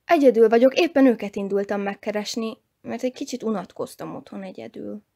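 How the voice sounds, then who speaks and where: A woman voicing a cheerful, lively girl in a children's story, speaking in a bright, friendly and eager way. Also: mid-range voice, vocal fry. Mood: warm. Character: cheerful, lively girl who will not stay sad. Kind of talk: storybook character voice.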